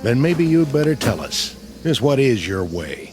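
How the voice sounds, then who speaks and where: An actor playing a battle-hardened, skeptical army sergeant in a radio drama, plain-spoken, folksy and wry. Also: male voice, mid-range voice, very animated, normal speed, music in the background, lightly acted. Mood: guarded.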